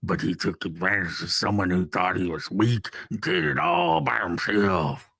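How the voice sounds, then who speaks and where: A man voicing a blunt, accusing person quoted in testimony, with a gravelly voice, in an amateur reading. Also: sits low, lively intonation, moderate pace, bright, forceful tone, no room sound, clean studio sound, full character voice.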